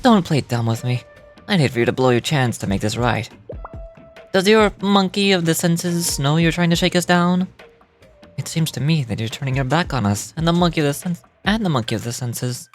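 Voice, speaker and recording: Game smuggler played by a woman: low voice, shady, suspicious, menacing, accusing. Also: expressive, normal speed, soft background music.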